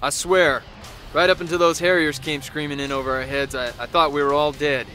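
Bright, wry soldier narrator. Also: male voice, fairly high pitch, steady tone, brisk, faint music behind. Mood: weary, wry. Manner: wry, colloquial. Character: cynical, battle-weary male soldier recounting war. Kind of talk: narrated war journal.

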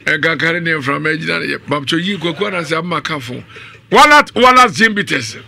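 Bright, forceful Ghanaian male radio host. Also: a little high, lively intonation, brisk, vocal fry, a little hiss or hum. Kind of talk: live radio talk show hosting with ad-libbed sponsor reads. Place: small radio studio. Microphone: studio condenser mic on boom arm, up close.

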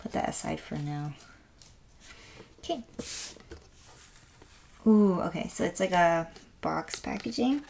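A woman with a raspy voice today, chatting softly and gushing with lots of fillers as she unboxes an album at a table. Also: on the low side, lively intonation, takes it slowly, pauses often. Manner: soft, rambling, gushing with many fillers. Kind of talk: casual unboxing chat. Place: indoors at a wooden table.

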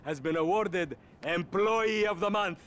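An actor playing a sly car dealer boss in a video game, dryly amused and unbothered. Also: male voice, high-pitched, measured pace, fairly bright tone, a little hiss or hum. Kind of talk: video game cutscene acting.

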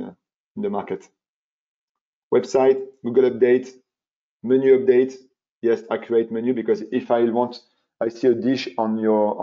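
A man's voice: medium pitch, gravelly, mellow. A man, presenting a marketing webinar in an emphatic, repetitive way with many fillers and restarts. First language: French. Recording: dull, muffled webinar audio.

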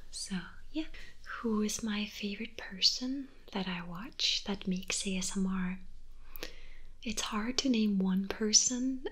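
A Danish woman in her twenties, whose first language is Danish, softly whispering answers to viewer questions in an ASMR video from her bedroom. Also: mid-range voice. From Denmark. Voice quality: breathy whisper. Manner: soft, slow, unhurried whispering. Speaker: ASMR creator. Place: bedroom, indoors.

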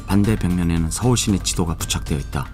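Deep-voiced male drama narrator. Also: soft background music. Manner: measured, even storytelling. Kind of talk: scripted audio drama narration.

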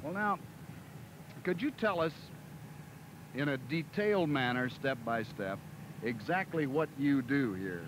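A middle-aged man interviewing as a farm-report host outdoors, asking measured, leading questions in a polished presenter's cadence. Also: medium pitch, animated. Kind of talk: broadcast interview. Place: outdoors by a cattle pen. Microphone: handheld mic. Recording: noisy 1970s 16mm film sound, outdoors.

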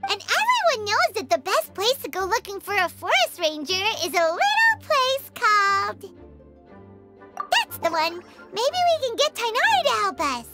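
A woman voicing a tiny, eager floating fairy companion in a squeaky, childlike anime game voice. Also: huge pitch swings, moderate pace, over loud music, exaggerated voice. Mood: helpful, musing. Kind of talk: anime-style game voice acting.

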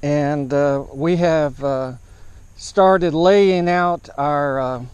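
Slow, halting man with a higher-pitched voice. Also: darkish tone, clear, resonant tone, no room sound, highs cut off. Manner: halting, hesitant, matter-of-fact. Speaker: amateur radio operator, vlog host. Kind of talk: casual outdoor setup vlog narration. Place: large open grassy park field, outdoors.

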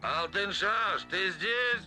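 An actor playing a proud, gruff warrior in a folk-tale cartoon, curt and commanding. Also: male voice, sits high, expressive, slow. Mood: impatient. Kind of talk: animated film dialogue. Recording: loud music over old film soundtrack.

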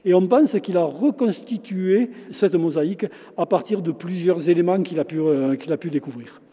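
An older man in his sixties with a very mellow, dark voice sits a little high in pitch. He answers questions haltingly, full of fillers and restarts, through a face mask. The sound rings with the long reverb of a large stone chapel. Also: slightly rough. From France. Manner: hesitant, many fillers and restarts. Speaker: shop owner and local historian. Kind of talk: casual interview. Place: large former chapel, indoors. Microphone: handheld interviewer mic, face mask on.

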